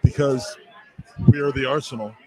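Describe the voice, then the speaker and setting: A man's voice: mid-range voice, fairly even intonation, moderate pace, a little mellow, rough recording. A man hosting a football podcast in a casual, chatty style. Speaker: podcast host. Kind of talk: casual sports chat.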